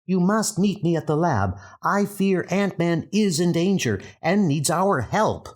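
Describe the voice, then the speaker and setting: A man's voice: high voice, animated, unhurried, voice pushed up. A man voicing a worried, determined young heroine in a comic book dub.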